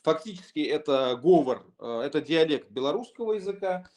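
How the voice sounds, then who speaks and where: A man's voice: fairly high pitch, animated, a little fast, darkish tone. A man, a lawyer, explaining his view in a conversational discussion, thoughtful and full of hesitations and restarts.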